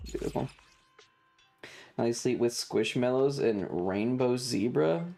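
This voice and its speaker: A woman livestreamer chatting casually over a video game in a blunt, sweary, deadpan manner, with game music behind her. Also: extremely low pitch, slow, vocal fry. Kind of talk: casual game streaming chat.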